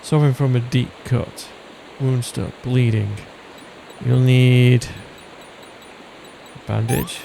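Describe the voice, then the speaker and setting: Guy doing casual gameplay commentary, slow talker with vocal fry, hissy game noise behind. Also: mid-range voice. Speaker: gaming video host.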